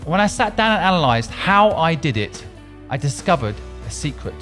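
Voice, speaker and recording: Lively, animated male podcast host, upbeat, faint music behind. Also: on the high side, normal speed. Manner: upbeat, with stumbling repeats when asking. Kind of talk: podcast intro and interview.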